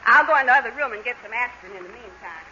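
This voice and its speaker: An actress playing a bossy, sharp-tongued wife in a 1940s radio comedy, in an old broadcast recording. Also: female voice, a little high, lively intonation, rapid-fire. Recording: dull old radio broadcast, faint hiss.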